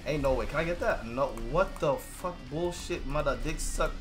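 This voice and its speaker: A young man giving loose, excitable commentary over his own gameplay, swearing freely and going hoarse from losing his voice. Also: fairly high pitch, normal speed, music in the background. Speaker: gaming YouTuber.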